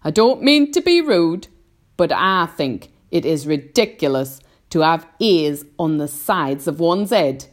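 A woman's voice: low voice, big pitch swings, measured pace. A woman voicing a rude, rambunctious storybook centipede, brash and mocking. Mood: scornful, mocking.

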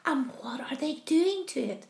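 A woman voicing a curious, excitable female ladybird in a storybook, breathlessly exclaiming and questioning. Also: sits high, moderate pace, gravelly, echoey room. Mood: hushed wonder.

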